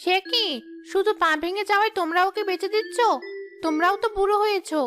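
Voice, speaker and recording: An actress voices a village sweet seller in a cartoon tale, her voice extremely high. She speaks politely and pleads with gentle insistence. Music plays clearly underneath. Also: fast. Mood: reproachful, insistent. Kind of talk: cartoon story acting.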